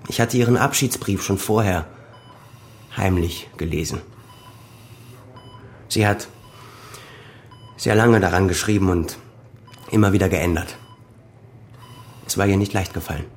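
An actor playing a grim, resolute grown son in a radio crime drama, speaking deliberately with quiet menace. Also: male voice, sits low, a little hiss or hum. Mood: bitter, confessional.

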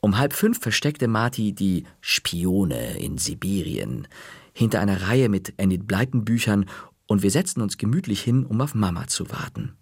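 A man narrating a children's story in the first person, calm, dropping to a whisper in quiet moments. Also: lowish voice, moderate pace, slightly rough, no echo, clean studio sound.

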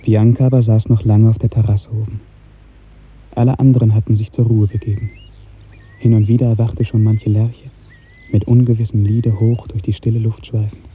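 Husky low-voiced man narrating lyrically. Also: flat delivery, moderate pace. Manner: earnest, lyrical, carefully enunciated. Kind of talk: literary narration in a 1950s radio drama. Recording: muffled narrow-band 1950s radio recording.

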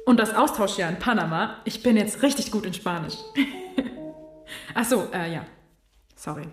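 A woman's voice: medium pitch, roomy sound, lightly acted. An actress playing a chatty young intern woman who talks in a casual, rambling, slangy way.

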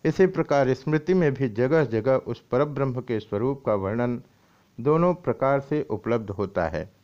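A man's voice: mid-range voice, expressive, normal speed, a little mellow. Dull lo-fi recording of a man reading scripture. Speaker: swami reading and explaining scripture. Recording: dull, lo-fi audio.

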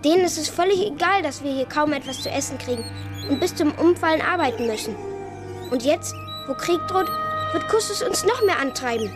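Plucky boy: high pitch, outspoken, protective, determined, children's radio drama. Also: lively intonation, brisk, music in the background. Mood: determined, bitter. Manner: bold, outspoken, insistent. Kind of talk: children's radio play acting.

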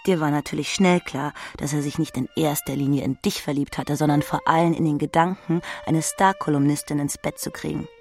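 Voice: mid-range voice, fairly even intonation, fairly quick, gravelly, few pauses, faint music behind. A narrator reading literary prose in the second person, speaking intimately to the listener.

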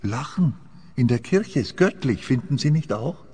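An actor with a Swiss accent playing a weary, melancholy man on the run in a radio play, husky, halting and reflective. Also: medium pitch, animated, warm tone. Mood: bitterly amused. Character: weary, melancholy, brooding male fugitive client. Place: scene set in echoing church. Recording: long church-like reverb.

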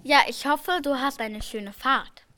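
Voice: sits high, a little slow, sharp tone. A childlike performer playing an affectionate, caring mother in an amateur school radio play, speaking simply and with endearments. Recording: noisy amateur school recording.

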